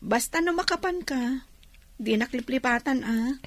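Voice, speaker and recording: A woman's voice: sits high, lively intonation, a little slow, dry recording. An actress playing a patient, devoted young Filipina village woman in a radio drama, with an Ilocano accent. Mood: doubtful, tender.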